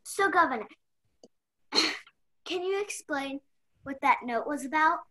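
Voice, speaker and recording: Young woman playing commanding detective, high, crisp bright tone, slow delivery, amateur radio play. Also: animated, heavily acted. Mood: probing. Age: young adult. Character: brisk, in-charge young female investigator.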